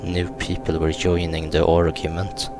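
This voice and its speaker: A man reading the text of a visual novel aloud in a measured, halting way, with pauses between phrases. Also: deep voice, completely flat delivery. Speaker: gaming video host reading aloud. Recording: loud music behind the voice. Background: loud game music.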